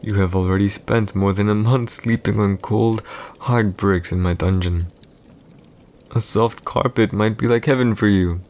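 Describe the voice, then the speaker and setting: A man's voice: fairly low pitch, fairly even intonation, unhurried, a little mellow. A man playing a haughty, teasing villain emperor in an ASMR audio roleplay, with formal, condescending, old-fashioned diction. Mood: menacing, amused.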